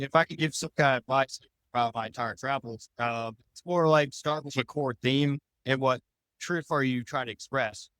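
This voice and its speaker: A man's voice: mid-range voice, a bit bright, clear, resonant tone, no room sound, rough recording. A man answering interview questions as a podcast guest, talking in loose, rambling explanations. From United States.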